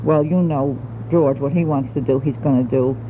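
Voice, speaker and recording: A woman's voice: very deep voice, fairly even intonation, moderate pace. Thin muffled 1960s tape, slight noise, young adult woman from the United States, chatty, hesitant, informal family letter. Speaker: wife and mother recording a taped letter. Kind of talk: casual spoken letter to family. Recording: muffled, noisy 1960s home cassette tape.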